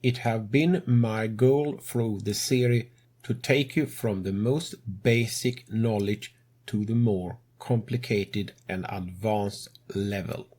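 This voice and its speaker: Slow, breathy non-native man. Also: fairly low pitch, darkish tone. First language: not English. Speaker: tutorial host. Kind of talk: game tutorial narration.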